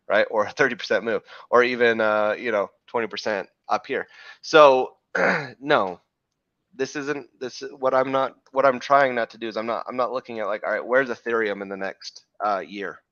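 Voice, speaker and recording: Man, slightly hoarse, lowish voice, rambling trading talk, self-correcting, dry close sound. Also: a bit bright, dry recording, muffled recording. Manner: rambling, self-correcting, frequent "right?" checks. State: slightly hoarse, losing his voice. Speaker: crypto trading show host. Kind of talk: live chart analysis talk.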